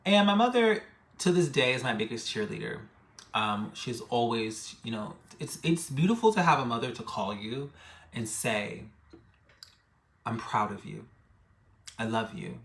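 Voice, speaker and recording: A man tells a personal story to camera in a reflective, halting way, repeating phrases for emphasis. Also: mid-range voice, animated, creaky, fairly bright tone. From Bronx, New York City, United States. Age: 30s. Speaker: death midwife and ritualist, vlogger.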